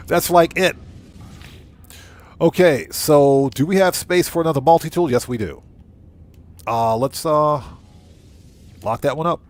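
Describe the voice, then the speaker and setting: A man's voice: on the high side, slow, long pauses. A man hosting a casual gameplay livestream, commenting in exclamations and emphatically repeated short phrases. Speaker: livestream host.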